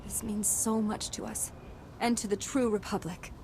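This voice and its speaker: A woman's voice: medium pitch, steady tone, normal speed, background noise, lightly acted. A woman playing an earnest, secretive ex-military diplomat and zealous patriot in a video game, speaking persuasively and solemnly. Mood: pleading, secretive. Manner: persuasive, solemn, hushed at times.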